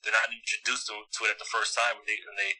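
A man's voice: on the low side, little pitch movement, fast talker, crowd noise, low-quality recording. A middle-aged man from Montreal, a former basketball player, chatting as a guest in a casual interview. He talks at length and with emphasis, often repeating words as he builds a thought. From Montreal, Canada.

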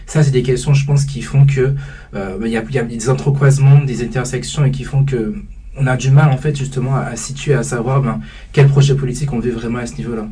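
A man's voice: medium pitch, fairly even intonation, fast, dark tone, husky, distant mic. A young man who heads a student association, speaking hesitantly in a panel discussion, with many fillers and restarts. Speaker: student association president, guest.

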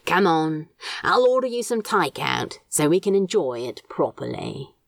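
A woman's voice: sits low, sing-song, a little slow, vocal fry, dry recording, studio quality, heavily acted. A woman voicing a snappish, guarded male demon gardener in an audiobook, with a curt, dismissive drawl that hides hurt. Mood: relieved. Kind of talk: audiobook character dialogue.

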